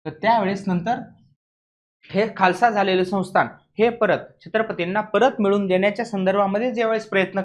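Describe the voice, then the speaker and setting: A man's voice: sits high, fairly bright tone. A man with a Maharashtrian accent lecturing as an exam coaching teacher, emphatically repeating key points and putting questions to students that he answers himself.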